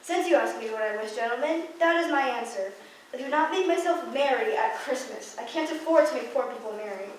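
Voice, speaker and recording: A woman's voice: high-pitched, animated, a little fast. A young woman student acting a grumpy, curt, miserly old businessman in a radio play. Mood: cold, dismissive. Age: teenager or young adult. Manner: curt, dismissive. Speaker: student actor. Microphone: stand mic, recorded from distant camera. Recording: echoey room, far mic, background noise.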